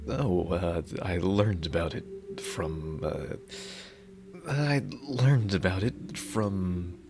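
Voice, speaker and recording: A man playing a dorky, lovestruck bodyguard in an ASMR roleplay, speaking in an awkward, halting, earnest way. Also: sits low, noisy background, exaggerated voice. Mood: awkward, eager.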